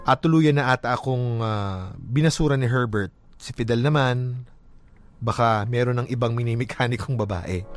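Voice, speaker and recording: A man tells a personal story in the first person. His mid-pitched voice is conversational and a little hesitant, with music playing behind it. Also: normal speed. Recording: music bed under the voice.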